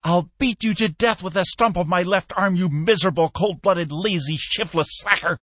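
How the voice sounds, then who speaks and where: A man's voice: animated, moderate pace, a bit bright, no room sound. A man voicing an exhausted, desperate man who pleads frantically and then rages. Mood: raging. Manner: frantic, pleading, high-strung.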